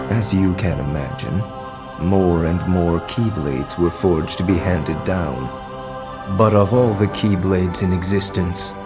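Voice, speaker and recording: An actor playing a cold, enigmatic male villain in a video game, solemn and detached. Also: sits low. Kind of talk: video game cutscene acting. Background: background music.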